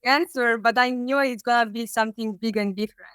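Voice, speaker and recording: A woman from Serbia with a Serbian accent, speaking English as a second language in a halting interview chat, full of "like" fillers. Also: on the high side, steady tone, fairly bright tone, clean tone, no room sound, clean studio sound. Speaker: guest, student.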